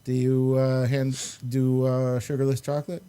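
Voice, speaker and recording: A man hosting a podcast in a casual, chatty way, with halting and hesitant speech. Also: medium pitch, completely flat delivery, mellow, smooth. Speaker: podcast host. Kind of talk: casual chat.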